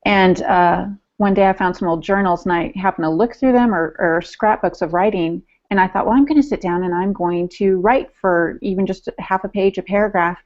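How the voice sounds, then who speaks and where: A woman's voice: medium pitch, steady tone, brisk, hardly any pauses, a little mellow, lo-fi sound. A woman speaking as a podcast co-host in a casual, earnest and personal way. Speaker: podcast co-host discussing writing.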